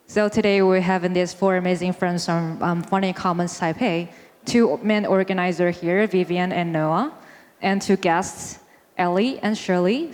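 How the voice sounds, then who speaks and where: A woman's voice: lowish voice, a little mellow. A woman moderating a panel, friendly and prompting the guests with questions. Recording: long reverb of a large hall.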